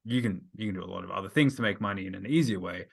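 Low-voiced man chatting loosely. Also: racing, vocal fry, husky. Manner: rambling, full of fillers and restarts. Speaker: podcast host. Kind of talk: casual conversation.

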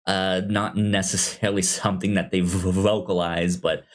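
A man co-hosting a podcast, casually discussing film in a halting, often stammering way. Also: deep voice, level tone. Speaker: podcast co-host.

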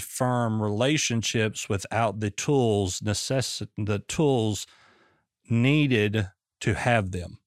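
Male coach, mid-pitched, measured and probing, dry close recording. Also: clean tone, dry recording. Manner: probing, measured, repeats questions. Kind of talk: coaching conversation.

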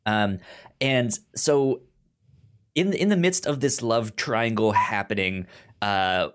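A man co-hosting a podcast in casual conversation, halting and often repeating the first sounds of words. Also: lowish voice, fairly bright tone, clear, resonant tone. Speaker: podcast co-host. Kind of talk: casual TV recap discussion.

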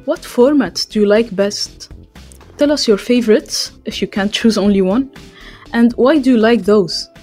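A woman's voice: on the high side, unhurried, dark tone, faint music behind. A woman from France hosting a podcast, reading out a scripted introduction and survey questions. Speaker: podcast host.

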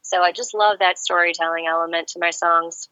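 Bright-voiced chatty woman singer-songwriter. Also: on the low side, steady tone, brisk, clean tone, no room sound, highs cut off. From Serbia. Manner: friendly, chatty storyteller. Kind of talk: phone-in radio interview. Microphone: phone line.

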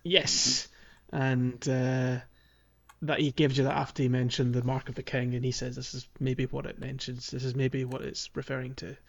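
A man's voice: mid-range voice, level tone, normal speed, gravelly, dull audio. A man co-hosting a podcast, telling things in a hesitant, informal way as he talks through a video game. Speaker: podcast co-host. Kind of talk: casual video game playthrough chat.

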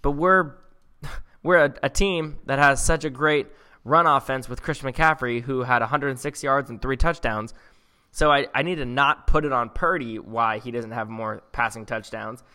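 Bright-voiced enthusiastic male co-host. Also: mid-range voice. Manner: enthusiastic, rambling with restarts. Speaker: podcast co-host. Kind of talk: casual sports chat.

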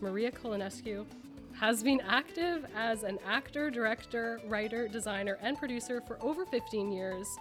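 Woman interview host over clear music. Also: on the high side. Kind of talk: conversational interview hosting.

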